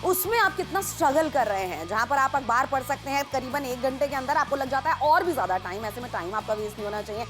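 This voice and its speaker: Woman shopping-channel host, high and rapid, pushy sales patter with music under it. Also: expressive. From Ghaziabad, India. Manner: persuasive, urgent, addresses viewers directly. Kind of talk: live teleshopping sales pitch.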